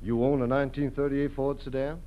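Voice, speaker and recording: An American actor playing a brisk, businesslike policeman in a 1940s radio drama. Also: medium pitch, flat delivery, lightly acted. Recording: dull lo-fi vintage radio.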